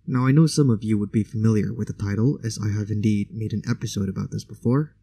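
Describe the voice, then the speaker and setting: A man's voice: fairly low pitch, quick pace, vocal fry, mellow, breathy edge, no room sound. A man whose first language is Indonesian, hosting a solo podcast in a conversational, opinionated way with casual asides. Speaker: podcast host.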